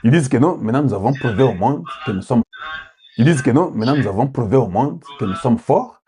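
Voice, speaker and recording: Animated man in political commentary. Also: medium pitch, quick pace, soft background music. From Congo. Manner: rhetorical, asks the audience questions, mixes in Lingala. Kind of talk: political commentary addressing viewers.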